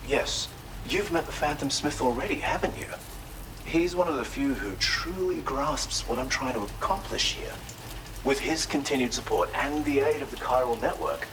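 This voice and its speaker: Video game role: male actor as eccentric self-taught healer, theatrical and formal, very lively pitch, clear rain-like noise behind. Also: lightly acted. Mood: confident, enthusiastic. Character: eccentric, courteous male tar therapist, enthusiastic self-taught researcher. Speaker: non-player character in a video game. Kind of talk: expressive video game character dialogue.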